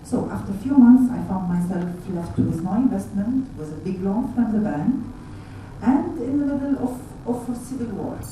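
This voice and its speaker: A middle-aged woman with a Syrian accent speaks to a conference audience about herself. Her tone is extremely soft-edged and dark, heard from far off in a strongly reverberant room. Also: mid-range voice, lively intonation, normal speed. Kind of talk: personal story told to an audience. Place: indoor event room with tables. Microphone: handheld mic, picked up by far camera. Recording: distant, echoey room with faint noise.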